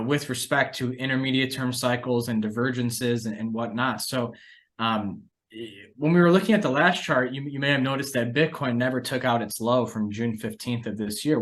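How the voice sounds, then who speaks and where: A man's voice: medium pitch, a bit bright. A man hosting a conversational discussion about financial markets, speaking as an analyst. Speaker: podcast host and market analyst.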